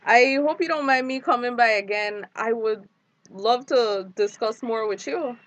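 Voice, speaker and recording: A woman's voice: a little high, a little slow, dull audio, lightly acted. An actress playing a polite, inquisitive woman visitor in a screen drama.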